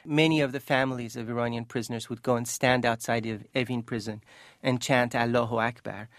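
A man's voice: mid-range voice, fairly even intonation, fairly quick, a bit bright, no room sound. A man from Iran speaking as an author and interview guest, thoughtful, earnest and impassioned, sometimes reading from his book.

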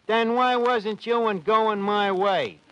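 An actor playing a brash tavern manager who wisecracks with ungrammatical street talk, in 1940s radio comedy style. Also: male voice, high voice, slow, muffled recording. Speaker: host of the show. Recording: noisy 1940s radio broadcast.

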